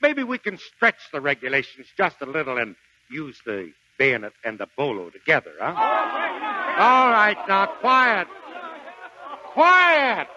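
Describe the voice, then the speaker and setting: An actor playing a gruff, blustering middle-aged American Marine sergeant in a 1940s radio drama, with folksy, emphatic delivery. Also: male voice, high voice, wildly swooping pitch, a little slow, pushed and bright, slight background noise, exaggerated voice. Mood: flustered, grudging. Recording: dull 1940s radio broadcast recording.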